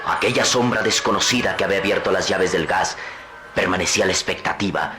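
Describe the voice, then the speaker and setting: A man's voice: low voice, fast. A man narrating an old radio drama in a grave, suspenseful tone.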